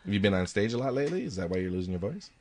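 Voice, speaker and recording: A man co-hosting a casual chat, chiming in with quick jokes and questions. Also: lowish voice, sing-song, a little mellow. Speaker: co-host.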